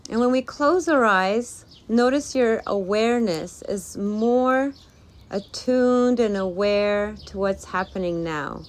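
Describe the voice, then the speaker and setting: A woman leading an informal guided meditation talk, speaking gently in halting phrases with pauses, as a teacher. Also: medium pitch. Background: birdsong.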